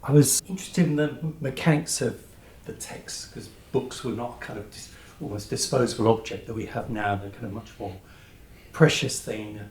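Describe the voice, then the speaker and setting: A man's voice: medium pitch, moderate pace, a little mellow. A man asking conversational questions as a podcast interviewer, in a hesitant, musing way. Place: indoors at a library, away from studio. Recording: roomy, echoing, noisy location recording.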